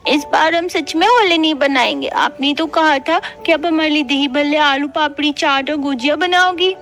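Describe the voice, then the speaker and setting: A woman's voice: high-pitched, moderate pace, fairly bright tone. A woman voicing an innocent, plaintive small child from a poor family in a cartoon story. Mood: plaintive, disappointed. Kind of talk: Hindi cartoon story voice acting.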